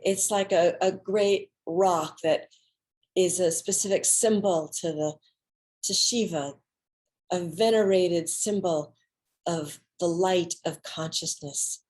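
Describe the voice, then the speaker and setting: A woman giving a dramatic reading of an autobiographical monologue, with theatrical, deliberate phrasing and pauses. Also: on the low side, steady tone, a little mellow. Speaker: solo stage performer.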